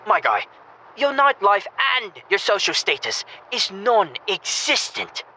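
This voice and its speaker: Man playing a sharp, boisterous young lad. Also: sits high, huge pitch swings, measured pace, a little hiss or hum, full character voice. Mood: brash, persuasive. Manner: brash, teasing, pushy. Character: cocky, boisterous young British male student wingman. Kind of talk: audio drama acting.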